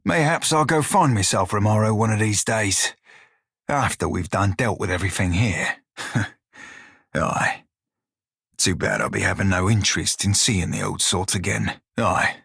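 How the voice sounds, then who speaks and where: Husky salty pirate shiphunter. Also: male voice, fairly low pitch, animated, measured pace, a bit bright, dry recording, clean studio sound, full character voice. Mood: reflective. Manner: archaic nautical pirate dialect, swaggering. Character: salty blue-skinned pirate shiphunter, gruff, wry, loyal. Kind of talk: video game character voice lines.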